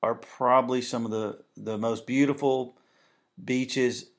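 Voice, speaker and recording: A man with a Southern US accent hosts an interview in a relaxed, conversational way. He talks at an average pitch and often chuckles between his questions. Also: a little slow. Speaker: podcast host. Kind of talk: friendly interview hosting.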